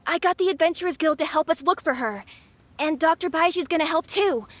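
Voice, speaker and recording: A woman's voice: very high-pitched, brisk. A woman voicing an eager young girl in a video game, earnest and reassuring. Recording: game audio with faint music.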